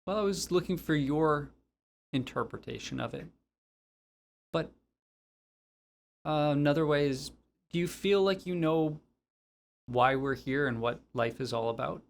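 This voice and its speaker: A man's voice: on the high side, a little slow, vocal fry, pauses often. A man interviewing someone conversationally, putting questions about life and memories.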